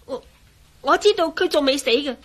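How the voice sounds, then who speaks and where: A woman's voice: high-pitched, expressive, moderate pace. An actress playing a plucky, earnest young woman in a radio drama. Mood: anxious defiance. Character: plucky young woman searching for her fiancé. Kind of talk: Cantonese radio drama acting.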